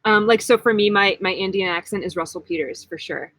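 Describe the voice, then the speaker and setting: A woman's voice: medium pitch, a little fast, a bit bright, dry recording. A young woman hosting a casual live-stream Q&A, chatty and giggly, full of likes. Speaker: vlog host.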